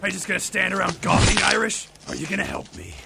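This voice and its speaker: Through heavy noise comes a man acting a gruff, cocky brawler with a low, gravelly voice. His clipped, sardonic lines swing widely in pitch, in the style of an amateur superhero audio drama. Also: huge pitch swings, fast, full character voice. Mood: impatient. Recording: very noisy home recording.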